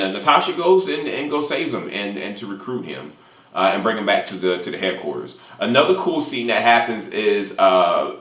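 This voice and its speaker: Low-voiced man recapping, in a roomy echo. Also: a bit bright. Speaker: film review channel host. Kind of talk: casual movie recap with spoilers. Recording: roomy echo, faint music behind.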